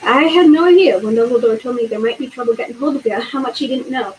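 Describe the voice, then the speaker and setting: A woman voicing a big, gruff but kindly male giant groundskeeper with a homely dialect, read aloud as a storybook. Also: a little high, quick pace, a little hiss or hum, close to an everyday voice, rough recording. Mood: worried.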